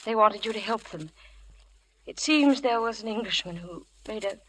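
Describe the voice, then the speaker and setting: An actress playing an anxious, suspicious young wife in a 1940s radio drama, speaking in a hesitant, guarded way. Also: female voice, medium pitch, expressive, normal speed, a bit bright, poor audio. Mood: guarded, fearful. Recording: old radio broadcast recording.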